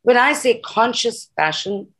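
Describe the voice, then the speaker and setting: A woman's voice: fairly high pitch, animated, unhurried, slightly rough. A middle-aged woman from Nepal, raised in India, speaking earnestly and passionately as a guest on a video call. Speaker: guest, sustainability activist. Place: room at home. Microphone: laptop webcam on a video call.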